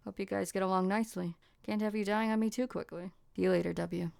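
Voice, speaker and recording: Guarded female mercenary boss, acted by a woman, mid-pitched with gravelly fry, dry and cynical, close dry game-dub sound. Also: steady tone, quick pace, darkish tone, no echo. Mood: cold, scornful. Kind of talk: amateur anime game fan dub.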